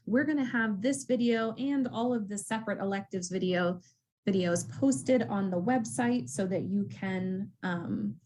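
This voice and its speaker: A woman's voice: medium pitch, dark tone. A woman who works at a school walks students through course selection in a friendly, casual way, with frequent ums. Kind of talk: casual informational talk to students.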